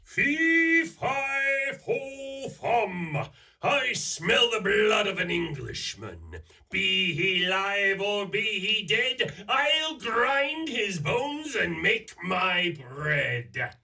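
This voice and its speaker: A man acting a huge, hungry, dim-witted ogre in a radio play, with a gravelly, booming delivery. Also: very animated, drawn-out words, sharp tone, recorded from a distance, exaggerated voice. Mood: menacing.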